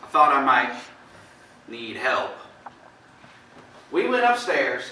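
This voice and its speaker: Folksy neighboring farmer played by a man, halting storyteller, slow and lively-pitched, amateur stage play heard from afar. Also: full character voice. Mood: shaken, animated. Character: plain-spoken neighboring farmer recounting a shocking visit. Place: theatre stage, indoors. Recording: echoey stage from far off, faint noise.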